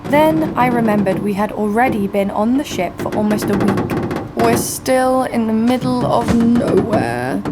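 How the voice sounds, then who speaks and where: A woman's voice: fairly high pitch, lively intonation, measured pace, loud music behind the voice. A woman playing a wry, adventurous young ship's guard who narrates her own story with a sardonic touch in a scripted audio drama. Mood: wry storytelling.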